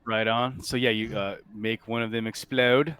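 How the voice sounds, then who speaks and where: A man's voice: medium pitch, level tone, moderate pace, fairly bright tone, slight background noise. A man acting as game master, casually explaining rules and guiding players in an easygoing way. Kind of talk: casual running of a tabletop game.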